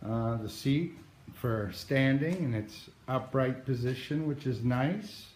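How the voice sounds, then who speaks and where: A man from New Hampshire giving a casual, halting walkthrough into a handheld phone, with many pauses and restarts. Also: mid-range voice, darkish tone, reverberant, slight background noise. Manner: slow, halting delivery with many uh's and restarts. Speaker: outdoors vlogger. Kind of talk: casual show-and-tell walkthrough. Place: garage, then outdoors by a driveway. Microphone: handheld phone camera, moving around.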